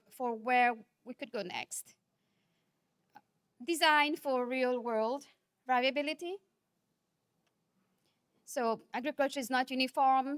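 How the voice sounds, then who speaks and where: Woman, high voice, dry close sound, measured and earnest, keynote delivery. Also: dry recording. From Nepal. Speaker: keynote speaker. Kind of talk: conference keynote speech.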